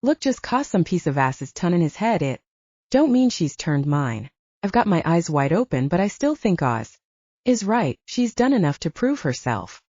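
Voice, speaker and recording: A rough-talking, loyal male soldier from a werewolf audiobook, read by a woman. The speech is blunt and slangy, fairly low and very varied in pitch, in a slightly dull recording. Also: sing-song, normal speed, a little mellow, no echo. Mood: defensive.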